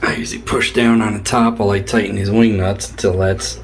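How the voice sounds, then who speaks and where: A man's voice: fairly low pitch, little pitch movement, brisk. A man casually narrating his hands-on repairs in a wry, joking way. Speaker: host, DIY repair vlogger. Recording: clear background noise.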